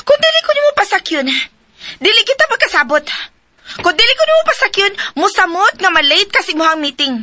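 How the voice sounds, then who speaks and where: A woman's voice: extremely high pitch, sing-song, a little fast, bright, forceful tone, a little hiss or hum, full character voice. A woman playing a stubborn, insistent woman in a Filipino radio drama, pushy and emphatic, with a Visayan accent. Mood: frustrated. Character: stubborn, insistent woman demanding to come along.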